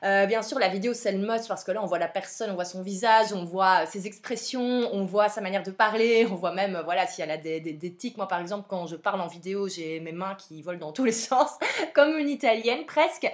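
A woman's voice: mid-range voice, a little fast. A young woman with a Belgian French accent hosting a podcast, chatty and frank with plenty of fillers. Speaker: podcast host. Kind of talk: casual advice podcast.

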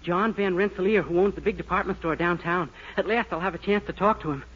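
A man's voice: sits high, quick pace, a bit bright, slightly rough. An actor playing an eager, dim-witted young man in an earnest, guileless 1940s radio comedy style. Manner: earnest, guileless, literal-minded. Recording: muffled, noisy 1940s radio recording.

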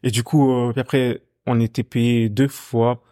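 A young man from Ghana with a Ghanaian accent, a music artist telling his story in a relaxed, casual chat, with lots of fillers and repeated phrases. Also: mid-range voice, unhurried, no room sound, studio quality. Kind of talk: casual conversation.

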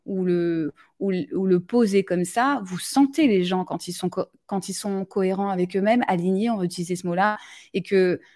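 A woman's voice: medium pitch, moderate pace, a little mellow. A woman hosting a live talk, speaking directly and plainly, often restarting her sentences. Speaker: live show host. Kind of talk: casual live discussion.

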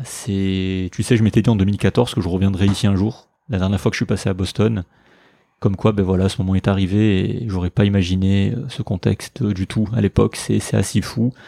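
Dark-voiced French podcast host, lively. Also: male voice, low-pitched, a little fast, vocal fry, no echo, studio quality. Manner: enthusiastic, with many fillers and restarts. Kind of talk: conversational interview and sponsor read. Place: visiting Harvard, Boston.

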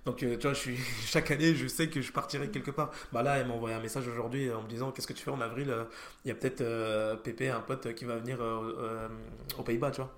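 A man's voice: mid-range voice, little pitch movement, quick pace, echoey room. A young French man hosting a casual chat, hesitant and full of fillers and restarts. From France. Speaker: podcast host.

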